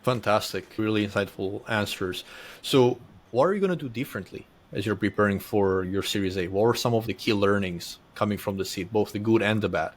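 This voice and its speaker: Low-pitched man as interview host, smooth and encouraging. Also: brisk. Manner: polished, affirming, long framing questions. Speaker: podcast host.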